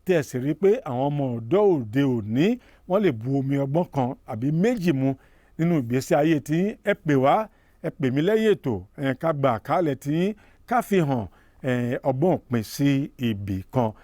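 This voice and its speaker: Male TV presenter, rapid and warm, dry studio sound, proverb-heavy delivery. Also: mid-range voice, animated, dry recording. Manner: proverb-quoting, addresses elders directly. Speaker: TV program host. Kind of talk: broadcast presenting.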